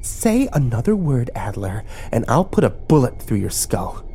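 A man's voice: medium pitch, sing-song, normal speed, mellow, slightly rough. A man voicing a hot-tempered, commanding young woman in an audio story, curt and aggressive. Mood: menacing, urgent.

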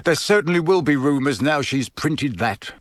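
A man voicing a weary, measured middle-aged father who works as a civil servant, in a storybook reading. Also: on the high side, expressive, dry recording, studio quality, natural voice. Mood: weary exasperation.

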